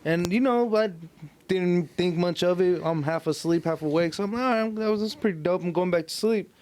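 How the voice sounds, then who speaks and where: An American man with a voice a bit higher than most men's. He chats casually as a podcast co-host, easygoing and full of slang and swearing. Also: brisk, darkish tone, slight background noise. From California Central Coast, United States. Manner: slangy, profane, laid-back. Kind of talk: casual chat.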